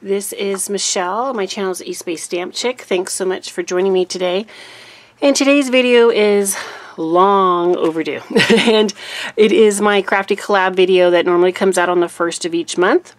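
A woman YouTuber recovering from a cold, her voice deeper than normal, chatting casually through a monthly craft recap at her desk. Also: moderate pace, no echo. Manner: chatty, relaxed, with fillers. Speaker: papercraft YouTuber. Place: desk in a room, overhead camera on a cutting mat.

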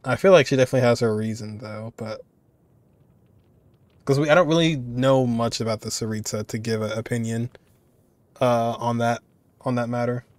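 A man giving casual, halting commentary as a streamer, often trailing off as he muses. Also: medium pitch, steady tone, dry recording. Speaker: streamer reacting to game voice lines.

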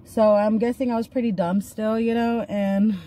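A woman's voice: fairly high pitch, fairly even intonation, moderate pace, dark tone, poor audio. A woman in her thirties telling a personal story in a chatty, earnest way while doing her makeup, recorded on a phone in a parked car. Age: 30s. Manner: chatty, filler-heavy, earnest. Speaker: vlogger. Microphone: phone camera at arm's length.

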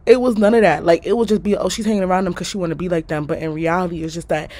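A nineteen-year-old woman telling a casual storytime vlog in her bedroom, animated and slangy, jumping from loud bursts to low muttered asides. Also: very fast. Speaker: vlogger. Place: small bedroom, indoors.

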